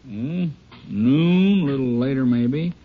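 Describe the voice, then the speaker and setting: An actor in a 1950s radio drama playing a nervous small-town hotel desk clerk with a folksy, stammering delivery. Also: male voice, mid-range voice, lively intonation, takes it slowly. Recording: muffled old radio broadcast.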